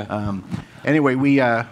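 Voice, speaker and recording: A man's voice: medium pitch, slow pace, muffled recording, slight background noise. A man moderating a conference panel, speaking hesitantly with many fillers. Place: conference stage with an audience.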